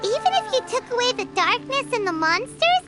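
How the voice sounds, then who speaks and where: A woman's voice: extremely high pitch, very animated, a little fast. A woman voicing a small, eager, childlike fairy companion in a video game, with music behind. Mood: curious. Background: game background music.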